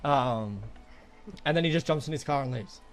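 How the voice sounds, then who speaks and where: A young man chats casually, as if telling friends a story. His talk rambles and is full of swearing. His voice sits at a middling pitch, with music playing behind it. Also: animated, moderate pace. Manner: profane, rambling. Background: background music.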